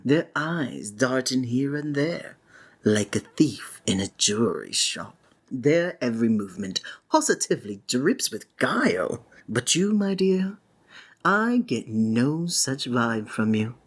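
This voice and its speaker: A very deep-voiced, flamboyant stage magician speaks in an ASMR roleplay with courtly, sinister charm. The husky delivery is slow and flowery, swooping theatrically through the phrases as it flatters the listener possessively. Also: female voice, heavily acted.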